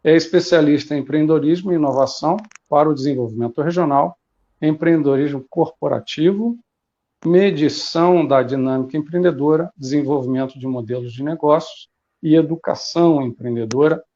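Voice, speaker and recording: Male conference moderator, Brazilian accent, slightly high mellow voice, heard through dull lo-fi call audio. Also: fairly quick. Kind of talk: introducing a speaker at an online conference.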